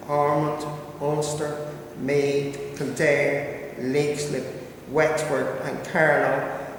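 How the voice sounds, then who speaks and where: Gravelly man lecturing in an echoey hall. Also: a little high, a little hiss or hum. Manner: reads steadily, then breaks into hesitant asides. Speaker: local history speaker. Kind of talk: history lecture read from notes. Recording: echoey hall recorded from far off.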